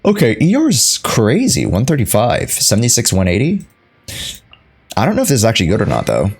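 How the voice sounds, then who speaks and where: A young man giving casual live gameplay commentary as a streamer, playful, slangy and quick to react, often talking to himself. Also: mid-range voice, wildly swooping pitch, breathy edge. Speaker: game streamer. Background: faint game music.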